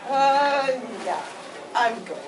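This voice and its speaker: A woman playing a mischievous, panicky seventeen-year-old boy in a fan fiction reading, excitable and stammering. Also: high-pitched, drawn-out words, full character voice. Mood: defensive. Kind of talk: fan fiction read aloud at a convention panel. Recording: echoey noisy panel room, distant mic, crowd.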